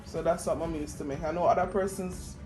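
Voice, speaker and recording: Low-voiced young Jamaican woman, teasing. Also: quick pace, roomy sound, low-quality recording. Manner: animated, teasing storytelling in Patois. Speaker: cooking vlog co-host, the daughter. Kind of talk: casual kitchen chat. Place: home kitchen. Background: music.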